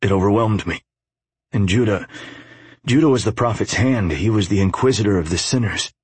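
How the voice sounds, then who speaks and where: A man performs a battered, defiant young man from a dark audiobook novel. His voice sits very low with a husky rasp, and he snarls and demands with bitter anger. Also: normal speed, no echo. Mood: confused, weary.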